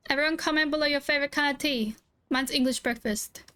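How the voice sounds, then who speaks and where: Female gaming streamer, casual jokey commentary, fairly high voice. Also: fast talker, pushed and bright. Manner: chatty, jokey, talks to viewers. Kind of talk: game reaction commentary.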